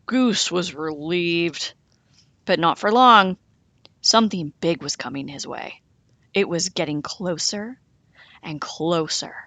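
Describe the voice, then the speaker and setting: A woman reading a children's story aloud in a dramatic, animated way with deliberate pauses. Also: on the low side, dry recording.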